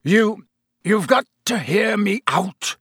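A man voicing a desperate, bitter male fugitive who snarls and croaks in an audiobook. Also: mid-range voice, animated, measured pace, sharp tone, no echo, clean studio sound, full character voice.

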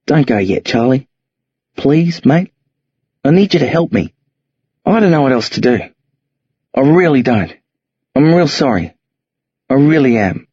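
Man voicing tough teenage boy, husky, low and rough, slangy, dull lo-fi sound. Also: animated, unhurried, long pauses, a little mellow, dry recording. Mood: pleading. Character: streetwise teenage boy, tough, pleading, wary. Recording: dull lo-fi audio.

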